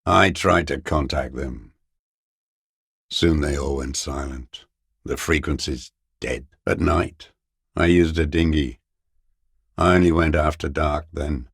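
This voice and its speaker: A man playing a bitter old communist deserter in a video game, muttering in contemptuous, terse fragments with a gravelly, raspy voice. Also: rumbling low, slow, long pauses, fairly bright tone, no room sound, clean studio sound, full character voice. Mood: weary, terse. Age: older. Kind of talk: video game character voice acting.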